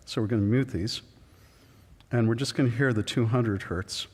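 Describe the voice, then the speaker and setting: A middle-aged man with a low voice giving a public science lecture in an auditorium, explaining step by step with ums and restarts. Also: normal speed, a little mellow, breathy edge. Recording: long auditorium reverb.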